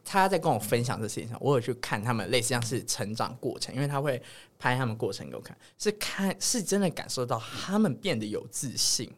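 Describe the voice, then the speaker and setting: A man's voice: medium pitch, sing-song, a little fast, few pauses. A man with a Taiwanese accent hosting a podcast with blunt, teasing, casual banter. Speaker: podcast host.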